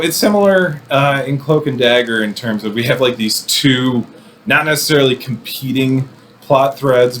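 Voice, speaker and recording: A man's voice: mid-range voice, fairly bright tone, slight background noise. A man giving casual, enthusiastic film commentary in an effusive, digressive way, with playful mock-combative asides. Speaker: film reviewer presenting a show.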